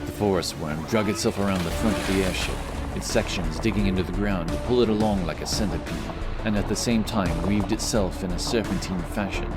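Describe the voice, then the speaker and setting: Male narrator, low voice, scripted fantasy storytelling, dramatic adventure style, music underneath.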